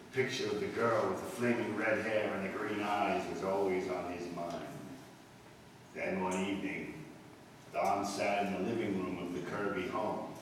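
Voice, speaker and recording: Low, echoing male actor's suspense narrator. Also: natural voice. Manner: deliberate, dramatic storytelling. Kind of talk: 1940s radio scripted narration. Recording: echoey hall, distant, faint noise.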